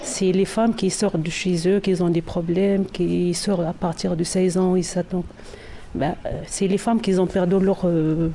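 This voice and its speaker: A woman raised between the Netherlands and Morocco talks informally in an interview, her low voice warm and mellow. She often stops to hunt for words, with a faint hum of the surroundings behind her. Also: little pitch movement, normal speed. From France, Morocco and the Netherlands. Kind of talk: casual interview talk.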